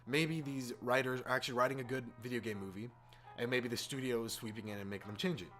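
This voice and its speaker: A man's voice: mid-range voice, steady tone, fast, fairly bright tone. A man hosting a casual opinion rant, exasperated and informal, repeating words for emphasis. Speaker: YouTube host. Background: background music.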